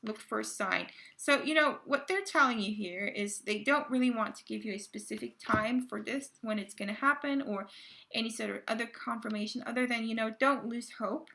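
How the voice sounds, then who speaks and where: A woman reading tarot cards to her viewers in a casual, halting way, full of fillers and restarts, her voice a little raspy from a cold. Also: fairly high pitch, sharp tone. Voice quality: gravelly. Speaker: tarot card reader.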